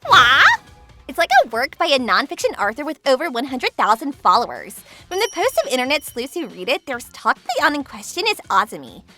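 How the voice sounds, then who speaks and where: A woman playing a haughty, callous, easily flustered middle-aged aunt, the villain of a manga dub, in a sharp, melodramatic manner. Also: high-pitched, huge pitch swings, brisk, faint music behind, full character voice. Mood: alarmed. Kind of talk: anime-style manga dub acting.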